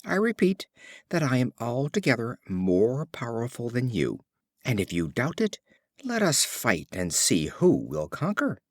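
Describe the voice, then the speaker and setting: A man's voice: medium pitch, wildly swooping pitch, unhurried, no room sound, clean studio sound, exaggerated voice. A man voicing a boastful, defiant little gnat from a fable, in a declamatory manner.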